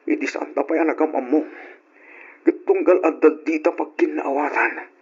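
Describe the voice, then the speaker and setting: A man playing an apologetic, two-timing young lover in an old Ilocano radio drama, halting and pleading, with an Ilocano accent. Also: a little high, steady tone, slightly rough. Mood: hesitant, guilty. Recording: dull lo-fi old radio recording.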